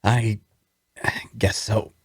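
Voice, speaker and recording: Casting: actor for tired, mistrustful injured male prisoner, deeper register, intimate dry delivery, first-person dramatic storytelling. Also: flat delivery, very slow, husky, no echo, heavily acted. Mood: wary, hesitant. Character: weary, wary wounded male prisoner narrating his story. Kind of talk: audio drama table read with first-person narration.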